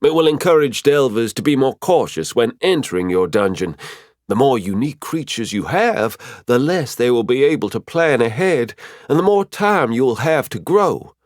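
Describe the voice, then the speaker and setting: Casting: man as female high spirit, cheerful know-it-all guide, high lilting pitch, lively swings, storybook fantasy. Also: moderate pace, no room sound, clean studio sound, natural voice. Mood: encouraging. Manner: chatty, explanatory, fond. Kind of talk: audiobook character voice.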